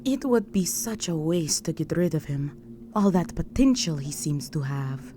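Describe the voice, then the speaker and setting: A woman voicing an imperious, seductive vampire countess in a scripted audio roleplay, poised, commanding and softly menacing. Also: low voice, expressive, a little slow, noisy background. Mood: intrigued.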